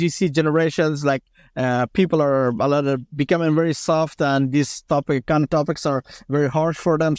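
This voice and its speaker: A man's voice: fairly high pitch, clean tone, dry recording, studio quality. A man in his thirties from Afghanistan, speaking English as an in a casual interview, with many fillers and restarts. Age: 30s. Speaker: guest, former special forces commander.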